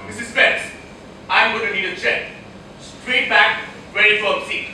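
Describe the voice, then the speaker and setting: Stage comedy: male actor as breezy, genial physician, unhurried patter, heard distantly in a reverberant auditorium. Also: high voice, steady tone, sharp tone, soft background music, heavily acted. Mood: jovial. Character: genial, theatrical doctor with a bad back. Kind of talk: amateur stage comedy acting. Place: college auditorium stage, recorded from afar. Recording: echoey auditorium, distant mic, noisy.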